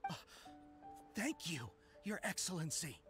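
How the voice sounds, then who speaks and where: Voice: mid-range voice, very animated, unhurried, a bit bright. An actor playing a zealous, loyal soldier in a video game, speaking deferentially and earnestly to a superior. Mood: bewildered. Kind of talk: scripted video game voice acting. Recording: studio voice over game music.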